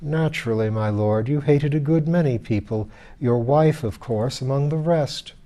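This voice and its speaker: A man's voice: mid-range voice, moderate pace, warm tone, lightly acted. A man voicing a cold, sardonic skeleton lady in an audiobook, with a dry, cutting, formally courteous manner. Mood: bitter, dry. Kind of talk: audiobook character voice.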